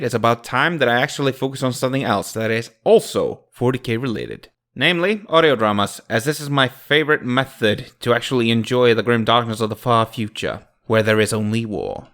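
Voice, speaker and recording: A man's voice: mid-range voice, fairly quick, few pauses, a bit bright. A man hosting a video review, giving casual scripted commentary in a jokey, emphatic way.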